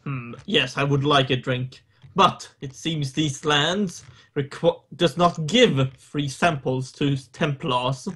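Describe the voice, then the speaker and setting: A man's voice: fairly high pitch, takes it slowly, fairly bright tone, husky. A man playing a tabletop game, casually chatting and putting on a mock-solemn tone for his character. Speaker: player.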